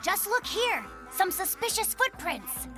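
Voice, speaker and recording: A woman's voice: very high-pitched, very animated, unhurried, music in the background, full character voice. A woman voicing an earnest little bear cub, an eager sidekick in a children's cartoon. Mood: proud. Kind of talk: children's cartoon dub acting.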